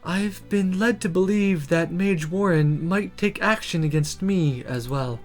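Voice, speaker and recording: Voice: mid-range voice, unhurried, soft background music, close to an everyday voice. Subdued, downcast mage teacher woman, voiced by a streamer. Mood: dejected.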